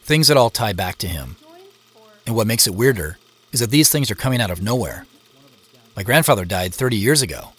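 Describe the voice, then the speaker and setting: Low-voiced reflective American man narrating. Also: expressive, a little fast, pauses often, a bit bright, husky. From Seattle, United States. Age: 40s. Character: reflective, curious grandson recounting a family mystery. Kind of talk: first-person scripted audio drama narration.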